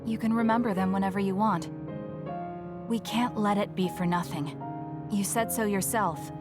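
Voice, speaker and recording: A woman voicing a composed, blunt young female soldier in an anime game dub, measured and reserved. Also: mid-range voice, steady tone, lightly acted. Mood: calm, consoling. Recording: music playing behind the voice. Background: game background music.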